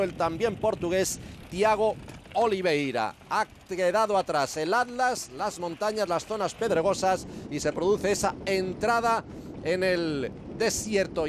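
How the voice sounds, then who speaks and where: High-pitched Spanish male race commentator over noise. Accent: Spanish (Spain). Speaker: sports commentator.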